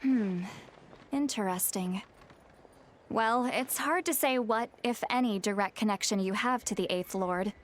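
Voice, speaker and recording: An actress playing a calm, scholarly young woman in a video game, explaining in a measured, articulate way. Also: medium pitch, natural voice. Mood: thoughtful. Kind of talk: video game dialogue, expository.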